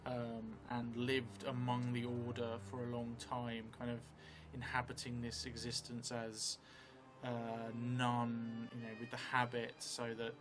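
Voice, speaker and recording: A middle-aged man thinking aloud as he improvises a story for a solo role-playing game, halting and musing. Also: mid-range voice, monotone. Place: small indoor room at a table. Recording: music behind the voice.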